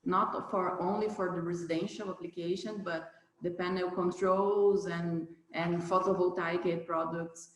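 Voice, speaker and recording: A Brazilian woman, a native Portuguese speaker, answering interview questions about a company in halting English, searching for words. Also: fairly low pitch, fairly even intonation, echoey room, a little hiss or hum, poor audio. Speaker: company manager being interviewed.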